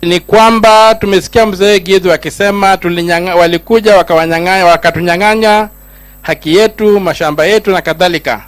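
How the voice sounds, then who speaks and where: A middle-aged Kenyan man addresses a gathering as a senator, his voice sitting high for a man. He builds his points in rising, emphatic lines and shifts between Swahili and English. The sound is dry and close, with a faint mains hum underneath. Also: steady tone, fast talker, no room sound. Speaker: senator giving a speech. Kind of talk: public speech at a gathering.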